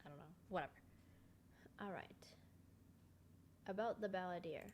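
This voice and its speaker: A woman's voice: lowish voice, normal speed, slight background noise. A woman giving casual, informal commentary while playing a video game, in an offhand, slangy way. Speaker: gamer recording a playthrough. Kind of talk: casual game commentary, reading dialogue aloud.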